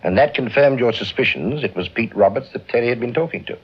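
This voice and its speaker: An actor playing an authoritative, avuncular British senior investigator in an old radio drama. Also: male voice, medium pitch, expressive, fast talker, slightly rough, dull audio. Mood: reflective. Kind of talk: mid-century British radio drama acting.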